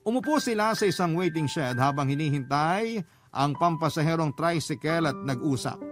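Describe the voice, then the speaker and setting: A man's voice: a little high, natural voice. A Filipino man acting a confiding, reflective narrator who tells a first-person story in a radio drama.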